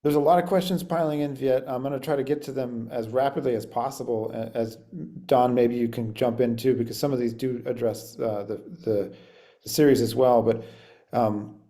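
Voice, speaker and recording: A male host with a mellow, warm voice, relaying audience questions over a video call. He speaks politely and a little haltingly, pausing on ums and uhs at an ordinary pitch. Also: steady tone.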